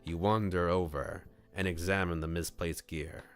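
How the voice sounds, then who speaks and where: Deep-voiced man reading a story aloud. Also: flat delivery, normal speed. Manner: playful, acts out dialogue between narration. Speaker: streamer reading a visual novel. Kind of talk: expressive read-aloud narration of a visual novel. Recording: music behind the voice. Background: game music.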